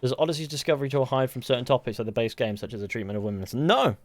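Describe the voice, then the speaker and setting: A man's voice: mid-range voice, lively intonation, rapid-fire, no room sound. A tired man from the UK with a mixed Mancunian and Southern English accent, chatting casually on a live game stream, rambling and joking as he answers chat. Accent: mix of Mancunian and Southern English. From United Kingdom.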